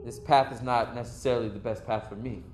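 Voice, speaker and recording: A man's voice: medium pitch, steady tone, fast talker, noisy background, close to an everyday voice. An actor playing a young man who earnestly reflects on his path in life, speaking a long stage monologue. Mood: reflective. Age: young adult. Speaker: lead actor in a stage play.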